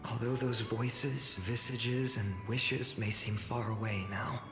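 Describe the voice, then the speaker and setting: A man's voice: mid-range voice, fairly even intonation, slow pace. A man playing an earnest, brave village boy hero in a fantasy game, with a thoughtful, formal way of talking. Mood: wistful, thoughtful. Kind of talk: dramatic fantasy game voice acting. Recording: echoing reverb, prominent music underneath.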